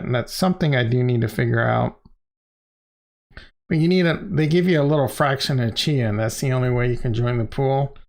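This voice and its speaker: A middle-aged man hosting a livestream, explaining things in an informal, slangy, conversational way and repeating phrases for emphasis. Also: on the high side. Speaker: crypto channel host and livestreamer. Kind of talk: casual, unscripted livestream explainer.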